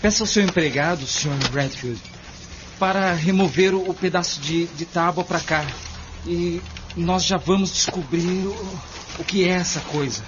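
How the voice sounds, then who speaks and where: A male actor plays an earnest and courteous leader of a dig in an amateur radio drama. His voice sits a little high and he phrases things formally, hesitating at times. The recording is muffled, with clear noise. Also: moderate pace, close to an everyday voice. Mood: curious, directing. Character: earnest male neighbor leading a torchlit cellar dig.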